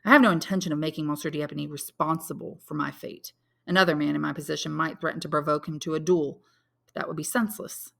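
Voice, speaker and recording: An honorable, lovelorn young man from a classic novel read by a woman, earnest and intense. The voice sits fairly low with a creaky edge, solemn and grimly resolute. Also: steady tone, quick pace, fairly bright tone, exaggerated voice. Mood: grimly calm. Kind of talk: dramatic audiobook character reading.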